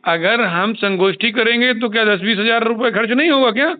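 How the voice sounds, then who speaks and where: A man's voice: extremely high pitch, fairly quick. A man speaking as a union leader on a phone call, explaining insistently and repeating himself. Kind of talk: informal phone discussion. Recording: tinny recorded phone call.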